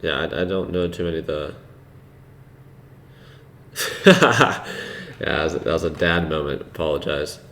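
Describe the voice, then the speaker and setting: A man hosting a live trading stream, chatting casually with viewers in a relaxed, unhurried way, with a soothing voice and plenty of fillers. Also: extremely low pitch, gravelly, a little hiss or hum. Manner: relaxed and unhurried, laughs easily, many fillers. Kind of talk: casual live-stream commentary, reading chat.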